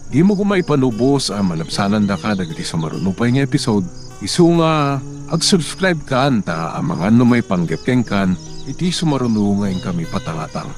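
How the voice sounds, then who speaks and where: A man's voice: fairly low pitch, very animated, brisk, darkish tone, soft background music. A man with an Ilocano accent announcing as host of a radio drama. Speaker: host narrator.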